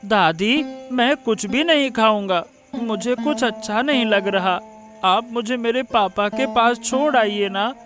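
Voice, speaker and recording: A man playing a feverish, plaintive young boy in a cartoon story, pleading haltingly. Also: very high-pitched, over music. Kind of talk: Hindi cartoon story dialogue.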